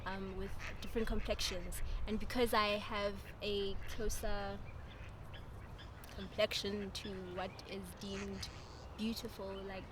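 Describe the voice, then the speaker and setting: A woman's voice: mid-range voice, little pitch movement, slow pace, background noise. A woman in her twenties from South Africa, speaking in a documentary interview, thoughtful and frank, laughing at herself now and then. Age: 20s.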